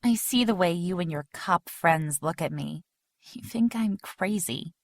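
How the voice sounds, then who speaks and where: A woman's voice: on the low side, lively intonation, a little slow, no room sound, studio quality. A woman playing a defiant, sarcastic and frightened young woman in an audio drama, indignant and skeptical in her delivery. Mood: defensive.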